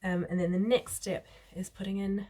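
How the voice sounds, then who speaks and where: A woman with a New Zealand accent, slightly hoarse from a cold, chatting casually as she presents a sewing tutorial. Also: mid-range voice, flat delivery, normal speed, mellow. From New Zealand, Christchurch. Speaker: sewing vlogger.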